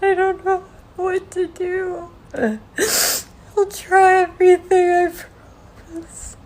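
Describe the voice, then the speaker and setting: A woman apologizing slowly and tearfully, crying as she speaks. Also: very high voice, fairly even intonation.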